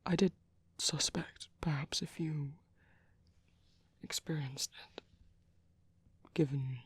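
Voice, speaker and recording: A man playing a gentle, caring vampire priest in a whispered ASMR roleplay, speaking slowly and tenderly with soft, hesitant reassurance. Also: fairly high pitch, fairly even intonation, no echo, full character voice. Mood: reassuring, tender. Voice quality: soft, breathy, husky whisper.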